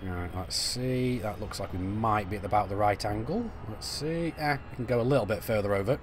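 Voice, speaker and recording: A man's voice: lowish voice, brisk, a little hiss or hum. A middle-aged man, chatting casually on a live stream while he plays, reading and answering viewer messages with lots of fillers and restarts. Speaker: game streamer.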